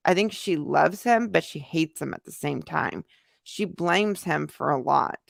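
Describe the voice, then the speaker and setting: American woman doing a chatty livestream, lowish voice with lots of fry, super dry close sound. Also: animated, measured pace, no echo. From United States. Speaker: livestream host, YouTuber. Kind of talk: casual livestream chat, answering viewer comments.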